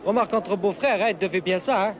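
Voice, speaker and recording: High-voiced gossipy man, played by an actor. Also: fast, close to an everyday voice. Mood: teasing, knowing. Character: teasing, gossipy neighbourhood pal, working-class man. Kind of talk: comic drama dialogue. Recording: busy street noise and crowd.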